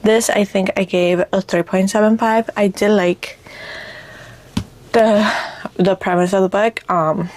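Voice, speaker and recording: A woman with a raspy voice, a little congested from allergies, chatting casually and hesitantly about books as a vlogger. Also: medium pitch, steady tone, a little slow, long pauses, darkish tone. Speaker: book vlogger. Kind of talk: casual book chat.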